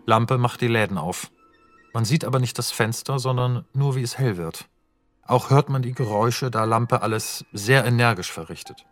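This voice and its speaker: A man calmly reading stage directions as narrator of a radio play. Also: medium pitch, normal speed, faint music behind. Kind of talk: scripted narration.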